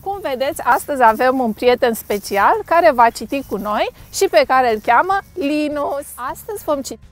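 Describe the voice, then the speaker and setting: A middle-aged woman hosts an outdoor storytime. Her voice sits high and swings widely in pitch as she reads simply and playfully for small children, with some music in the recording. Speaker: storytime host. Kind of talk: animated children's picture-book read-aloud. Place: outdoors on a grassy lakeside bank.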